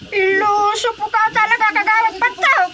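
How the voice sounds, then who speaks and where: A woman acting a shrill, nagging villager in a radio comedy drama, needling others with an Ilocano Filipino accent. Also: steady tone, moderate pace, bright, forceful tone.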